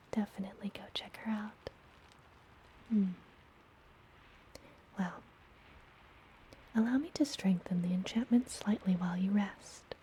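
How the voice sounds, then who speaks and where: A woman playing a friendly, gentle witch healer in an ASMR fantasy roleplay, whispering softly and soothingly, as if up close. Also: medium pitch, natural voice, poor audio. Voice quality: soft, breathy whisper. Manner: slow, soothing, intimate personal attention. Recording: close whisper with background noise.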